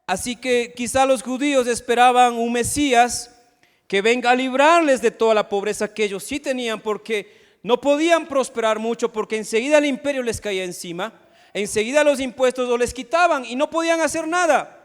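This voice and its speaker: A pastor with a high, smooth and resonant voice preaches, often asking his listeners questions directly. His voice carries a long reverb through the church sanctuary. The delivery sounds like a live sermon. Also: normal speed. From Ecuador. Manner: preaching with rhetorical questions and acted asides.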